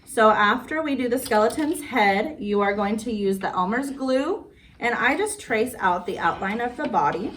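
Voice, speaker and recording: A woman's voice: a little high, expressive, normal speed, a bit bright. A woman hosting story time and crafts for young children, talking in a simple, sing-song way. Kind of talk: picture-book read-aloud and craft demonstration for young children. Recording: noisy, distant microphone.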